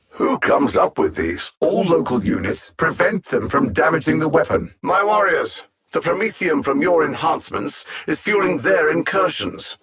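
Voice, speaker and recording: A man's voice: a little high, big pitch swings, measured pace, a bit bright, husky, exaggerated voice. A man playing a pompous alien machine-intellect conqueror in a video game, coldly sardonic and formal, with theatrical menace. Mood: imperious. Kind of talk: scripted video game villain dialogue.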